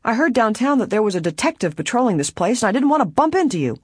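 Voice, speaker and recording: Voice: mid-range voice, sing-song, fast talker, husky, no echo, clean studio sound, voice pushed up. A narrator voicing an indignant man of about fifty who protests insistently in an audiobook. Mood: defensive.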